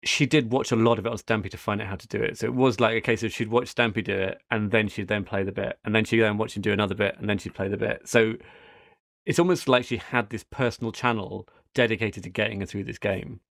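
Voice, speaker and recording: A man's voice: fairly low pitch, steady tone, fast talker, gravelly, a bit bright, dry recording, clean studio sound. A middle-aged British man hosting a podcast, chatting casually in a rambling, anecdotal way. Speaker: podcast host. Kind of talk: casual tech podcast chat.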